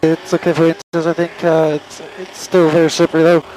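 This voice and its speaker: A male racing driver reporting haltingly to his team over in-car radio. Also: fairly high pitch, monotone, darkish tone, a little hiss or hum, poor audio.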